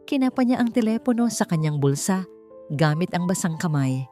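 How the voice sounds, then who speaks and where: A woman with a Filipino accent narrating a scripted story in a measured, dramatic way. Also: lowish voice, animated, mellow. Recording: dry studio voice over background music. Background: background music.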